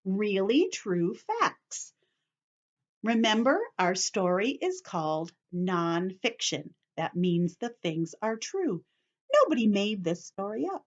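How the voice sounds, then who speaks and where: A woman's voice: mid-range voice, big pitch swings, slow, muffled recording. A woman teacher leading story time for young children, speaking in simple short phrases with careful emphasis and addressing the children directly. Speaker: children's teacher reading a picture book.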